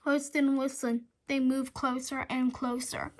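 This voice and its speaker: A woman's voice: high voice, flat delivery, measured pace, slight background noise. A woman reading a children's chapter book aloud dramatically, stumbling over words and rereading them. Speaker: storybook reader.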